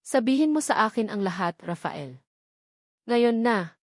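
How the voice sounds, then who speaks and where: A woman's voice: on the high side, expressive, unhurried, no echo. Filipino woman doing a protective rich dad in a story, firm n earnest, dry sound. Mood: stern, demanding. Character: devoted, protective rich young father, firm and authoritative.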